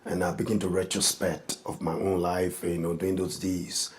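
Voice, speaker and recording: A man in his fifties, a pastor, telling his life story in a halting, earnest way with many restarts. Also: sits low, little pitch movement, darkish tone. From Liberia. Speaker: pastor, guest. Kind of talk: personal testimony in an interview.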